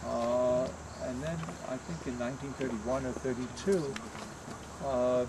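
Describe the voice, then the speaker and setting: A soft-spoken man in his eighties from Pennsylvania, reminiscing as he guides his family around outdoors, halting with many pauses and restarts. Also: medium pitch, steady tone. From Pennsylvania, USA. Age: 80s. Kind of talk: reminiscing storytelling. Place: outdoors by a roadside house. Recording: outdoor camcorder with traffic noise.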